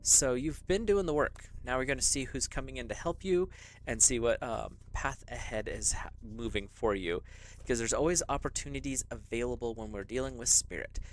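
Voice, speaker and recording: Easygoing man giving a tarot reading. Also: medium pitch. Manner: relaxed, rambling, with fillers and small laughs. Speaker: tarot reader. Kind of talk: casual spiritual reading.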